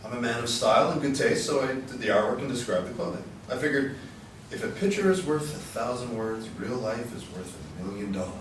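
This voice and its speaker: A man's voice: medium pitch, lively intonation, a little fast, natural voice. An actor playing a smug, self-admiring male office artist in a dramatic script reading. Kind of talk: dramatic screenplay table read. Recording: very echoey, distant room recording, faint noise.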